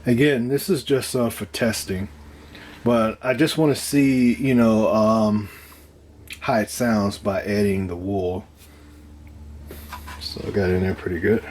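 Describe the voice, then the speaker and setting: Casting: man with a lowish voice, informal DIY demonstrator, halting and unscripted, faint background noise. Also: steady tone, darkish tone. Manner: halting, unscripted, thinking aloud. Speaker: DIY speaker builder presenting own project. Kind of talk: casual hands-on demonstration talk.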